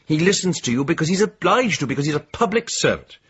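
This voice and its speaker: Husky, fussy gentleman. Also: on the high side, big pitch swings, fast talker, muffled recording, full character voice. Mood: dry, scolding. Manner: exasperated, proper, scolding. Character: cautious, exasperated, fussy older English gentleman. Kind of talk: radio drama acting.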